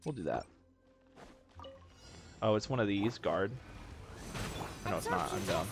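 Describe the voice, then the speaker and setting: Young guy game streamer, lower-pitched, easygoing banter with casual swearing. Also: unhurried, over loud music. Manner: laid-back, self-mocking, swears casually. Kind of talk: casual gameplay commentary.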